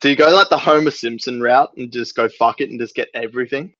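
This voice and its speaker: A man's voice: medium pitch, fast talker, fairly bright tone, no echo. Young Australian male, joking casual podcast host. Dull, dry lo-fi audio. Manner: slangy, sweary, joking. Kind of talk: casual chat.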